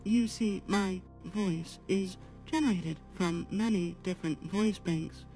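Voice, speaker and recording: A woman voicing a polite, apologetic artificial-intelligence assistant on a livestream, speaking formally in measured, halting phrases. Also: lowish voice, mellow, soft background music, low-quality recording. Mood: earnest, apologetic. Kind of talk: slow guided talk to a live chat.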